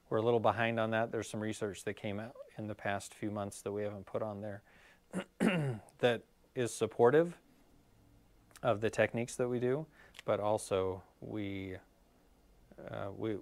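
A middle-aged man explaining scoliosis care at a live workshop, casual and full of fillers, while losing his voice. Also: on the low side. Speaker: physical therapist leading a scoliosis workshop. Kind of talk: live-streamed workshop talk. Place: clinic room.

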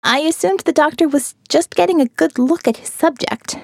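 A woman narrates a story in the first person with a fairly high voice. The sound is dry, with very little room in it, as in a produced audiobook. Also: normal speed, vocal fry, dry recording.

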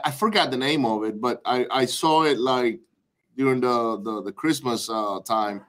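A man's voice: medium pitch, fairly bright tone. A man from Venezuela hosting a podcast interview, talking in a casual, chatty way with many "uh" fillers. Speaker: podcast host.

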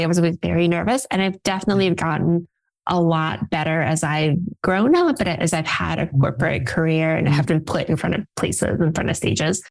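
A woman whose first language is Russian, an executive coach talking as a guest in an energetic, chatty way, laughing often and using many fillers. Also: fairly low pitch. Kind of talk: casual interview talk.

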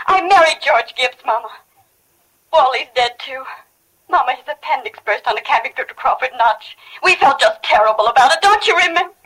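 An actress playing an anguished young wife in a 1940s radio drama, with a breathy, impassioned, tremulous delivery. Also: female voice, sits high, a bit bright, poor audio. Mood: pleading. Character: young wife in anguish, revisiting her childhood after death. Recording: old 1940 radio broadcast, faint music behind.

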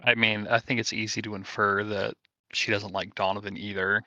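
Gravelly, very sharp-voiced man chatting. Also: lowish voice, level tone, normal speed, no room sound, highs cut off. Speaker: tabletop game player. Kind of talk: casual discussion.

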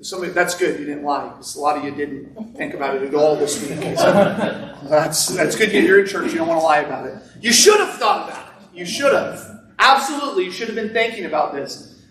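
A man preaching a sermon to his congregation without a microphone, talking in a loud, conversational way with asides to the people. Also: on the high side, expressive, brisk. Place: church sanctuary. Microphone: no microphone, recorded from a distance. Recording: roomy, distant church recording.

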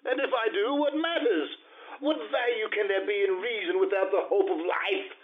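An actor playing a morbid, tormented aristocrat slipping into madness, brooding and intense in an old-time radio horror style. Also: male voice, sits high, normal speed. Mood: feverish defiance. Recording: muffled, band-limited radio recording.

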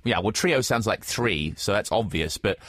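A man's voice: fairly low pitch, no echo. A man voicing a sycophantic, snobbish confidant who rambles pedantically in an improvised comic radio play. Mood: earnest flattery. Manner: flattering, halting, pedantic.

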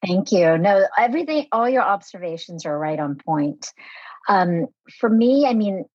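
A woman's voice: medium pitch, expressive, normal speed, a little mellow, no echo, highs cut off. A woman in her forties who grew up in America after leaving Vietnam as a small child, speaking thoughtfully as a podcast guest with frequent fillers. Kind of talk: conversational interview.

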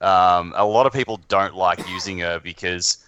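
Male podcast panelist, low clear voice, halting informal delivery, slightly dull mic. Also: fairly even intonation, fairly bright tone, dry recording. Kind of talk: casual pop-culture chat.

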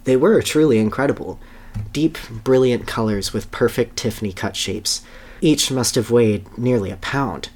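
A podcast host giving an animated dramatic reading of a story, with amused asides. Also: medium pitch, moderate pace.

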